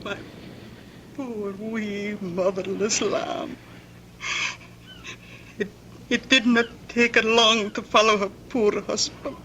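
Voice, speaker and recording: An actress playing a tender, fretful aunt in an old radio drama, plaintive and halting in manner. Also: female voice, mid-range voice, flat delivery, bright, forceful tone, rough recording. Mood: grieving. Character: tender, fretful Scottish aunt, sorrowful and devoted. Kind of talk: old-time radio horror drama acting. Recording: old radio recording with faint noise.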